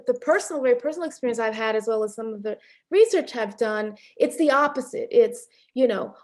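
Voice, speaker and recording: A middle-aged woman filmmaker answering interview questions in a reflective way, pausing often with fillers and restarts. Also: on the high side, a little mellow. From Panamanian and Haitian family background. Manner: thoughtful, many fillers and restarts, occasional laughs. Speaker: film director being interviewed.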